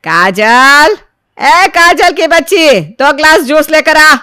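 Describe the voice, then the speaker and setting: A woman's voice: high-pitched, lively intonation, takes it slowly, sharp tone, exaggerated voice. A woman playing a domineering, spiteful middle-aged mother-in-law in a story, scolding and bossy. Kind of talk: Hindi moral story voice acting.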